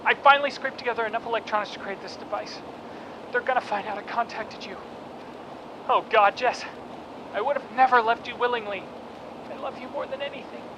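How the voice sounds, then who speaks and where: A man's voice: very high voice, fairly quick, pauses often. An actor playing a desperate captive spy pleading urgently with his wife over a radio link in an audio drama. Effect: radio transmission. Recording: dull radio transmission, noisy ambience.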